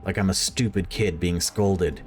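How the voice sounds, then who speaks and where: Man reading as brooding young male analyst, lowish voice. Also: steady tone, measured pace, faint music behind. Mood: resentful brooding. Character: timid but resentful young male data analyst. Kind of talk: first-person visual novel read-aloud.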